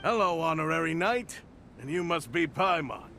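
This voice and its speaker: A man's voice: a little high, animated, moderate pace, gravelly, sharp tone, a little hiss or hum, heavily acted. A man voicing a polite, formal male knight officer, acted for a game. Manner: courteous, formal. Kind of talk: game voice acting.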